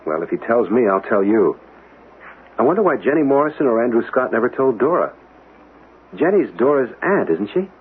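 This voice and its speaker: A man's voice: medium pitch, big pitch swings, moderate pace, slightly rough. An actor playing a polite, inquisitive young man in a radio mystery, courteous and questioning. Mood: curious. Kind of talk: radio mystery drama acting. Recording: muffled old radio broadcast, faint noise.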